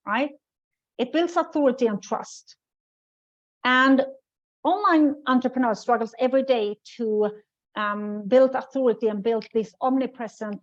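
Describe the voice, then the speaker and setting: A woman leads a business webinar as a coach. She speaks in a slightly high voice and stays emphatic, repeating her key points and checking in with a "right?". The sound is dull and lo-fi, like a webinar recording. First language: Swedish. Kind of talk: webinar teaching.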